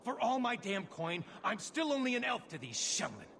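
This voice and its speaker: Bitter elf dad mourning and wanting revenge, game voice, highish and really animated. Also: male voice, normal speed, full character voice. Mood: bitter grief. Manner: impassioned, bitter. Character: bitter, grieving elf father hungry for revenge. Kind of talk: video game dialogue. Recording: noisy ambient background.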